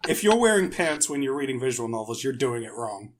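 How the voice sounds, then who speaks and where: A man's voice: medium pitch, very animated, fairly quick, very noisy. A man talking casually as a panelist on a podcast. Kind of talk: casual chat.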